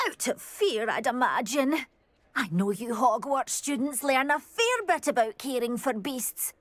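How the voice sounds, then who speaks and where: An anxious, soft-hearted woman in a video game, fretting over a creature she adores. Her voice is high and swoops expressively, with an unhurried pace. She is earnest and effusive. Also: expressive, no echo. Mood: fretful, tender. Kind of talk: video game character dialogue.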